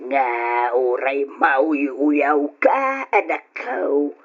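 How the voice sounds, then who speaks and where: Older ilocano mom in a radio drama, caring and devout, swoopy emotional delivery, dull old recording. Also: female voice, sits low, very animated, drawn-out words, husky, exaggerated voice. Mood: concerned, fond. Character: caring, devout older mother comforting her grown daughter.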